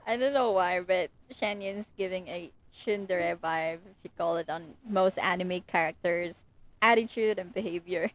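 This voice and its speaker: A woman's voice: lowish voice, moderate pace, rough recording. A young woman chatting casually as she comments on a game she is livestreaming. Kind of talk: casual chat, live gaming commentary.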